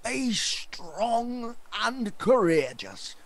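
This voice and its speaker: An actor playing a folksy, pious old carriage driver who talks in rustic dialect with a teasing, foreboding manner in an audio drama. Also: male voice, very high-pitched, very animated, extremely slow, no echo, exaggerated voice. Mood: kindly, pious.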